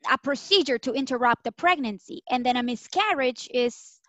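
A young woman interpreting medical terms on a video call, speaking in a careful, measured way. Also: high-pitched, a bit bright, no room sound. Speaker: Spanish interpreter. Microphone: laptop mic on video call. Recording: dull dry video call audio.

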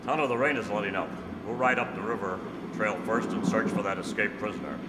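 Low male voice, earnest commanding western hero, acted by a man, radio drama, echoey hall. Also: fairly quick, lightly acted. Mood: grave, thoughtful. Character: resolute masked cowboy hero, earnest and commanding. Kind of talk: old-time radio drama acting, read from script. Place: stage in a hall. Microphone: stand microphone. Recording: echoey hall, noisy room ambience.

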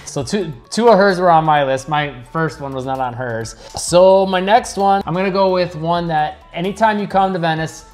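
A man's voice: fairly high pitch, normal speed, few pauses, soft background music. A man in his forties chatting casually and enthusiastically as co-host, full of fillers, on a lapel mic in a small studio. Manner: enthusiastic, rambling, lots of fillers. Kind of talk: casual chat.

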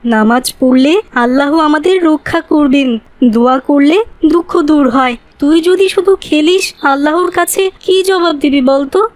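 A woman's voice: high voice, a little slow, no echo. A woman voicing an earnest, dutiful, pious schoolchild in a cartoon, sincerely admonishing. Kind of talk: animated children's cartoon acting.

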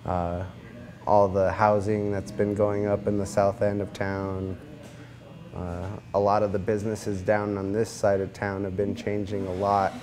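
A man's voice: lowish voice, little pitch movement, measured pace, background noise. A man in his thirties from New Mexico, talking in an easygoing way in a casual interview. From New Mexico, USA. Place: barbershop. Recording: music clearly behind the voice.

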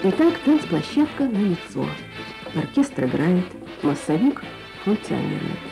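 Female Soviet-era radio reporter, mellow timbre, lively melodic scripted delivery. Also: medium pitch, poor audio. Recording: archive radio broadcast with music.

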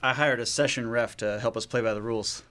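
An actor in his thirties playing a calm young pastor in a training film, explaining in a measured way. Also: mid-range voice, close to an everyday voice. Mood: instructive. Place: small meeting room.

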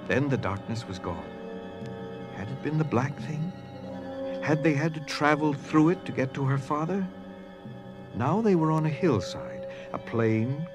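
Man as an animated, dramatic story narrator. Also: medium pitch, natural voice. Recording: faint music under narration.

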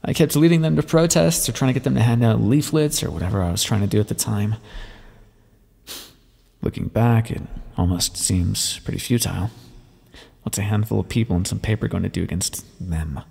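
A man playing a bitter, wry vampire and former rebel leader, confiding his past in an ASMR roleplay with a gravelly, husky voice. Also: lowish voice, fairly quick, mellow. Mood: brooding, regretful. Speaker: audio roleplay performer. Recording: long reverberant echo.

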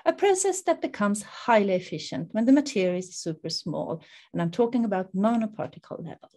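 Woman, middle-aged, Swedish accent, mid-pitched, formal seminar host, measured delivery. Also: animated, a little mellow. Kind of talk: prepared introduction to an online seminar. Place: indoors in front of a dark backdrop, video call.

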